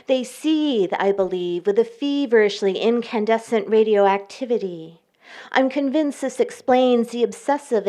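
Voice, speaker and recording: A woman poet reading her work aloud at a live event, with measured pacing and careful pauses between lines. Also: a little high, darkish tone. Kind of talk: poetry reading with spoken introductions.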